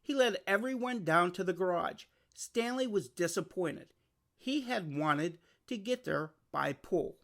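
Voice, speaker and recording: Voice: mid-range voice, animated, a little slow, creaky, a bit bright. A storyteller reading a scripted children's picture book aloud. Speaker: storybook reader.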